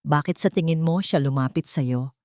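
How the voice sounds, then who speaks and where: Lady doing a rich old dad villain in a story, calm n cutting, dry audio. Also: low-pitched, moderate pace, no echo, natural voice. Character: calm, cold, manipulative older wealthy father.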